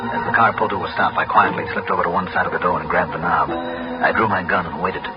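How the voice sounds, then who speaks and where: An actor in a 1960s radio drama playing a tough, shrewd investigator, firm and clipped in manner. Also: male voice, sits low, steady tone, fast talker, loud music behind the voice, close to an everyday voice. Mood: terse narrating. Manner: clipped, firm, hard-boiled. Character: tough, shrewd, commanding male insurance investigator. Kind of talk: 1960s radio drama acting and first-person narration. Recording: muffled, noisy old radio recording.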